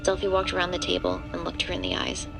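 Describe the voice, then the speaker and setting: A woman's voice: lowish voice, sing-song, fast talker, creaky, low-quality recording. A woman delivering scripted third-person narration for a horror audio drama. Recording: music playing underneath.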